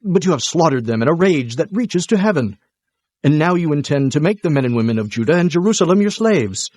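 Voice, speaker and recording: An actor playing a bold, indignant prophet, declaiming and admonishing in a dramatized scripture reading. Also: male voice, fairly high pitch, sing-song, fairly quick, darkish tone, no echo. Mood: indignant warning.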